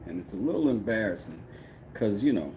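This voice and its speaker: A man with a deep, low voice talks casually on a live stream, mixing brash trash talk with loose rambling. His audio carries a clear layer of background noise from his home workshop. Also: a little fast, rough recording. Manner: brash, trash-talking, then rambling and relaxed. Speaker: woodworking streamer.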